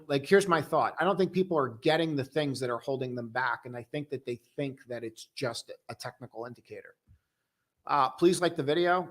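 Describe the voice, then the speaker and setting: A young man casually hosting a live stock-trading stream, chatting loosely and joking with viewers in a relaxed, tired way. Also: medium pitch. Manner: loose, joking, full of restarts and "dude". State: tired, low on coffee.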